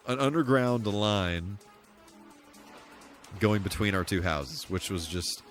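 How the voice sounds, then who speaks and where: American man, low voice, casual livestream commentary, good-humored, rambling, music underneath. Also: animated, slow. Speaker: live streamer. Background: game music.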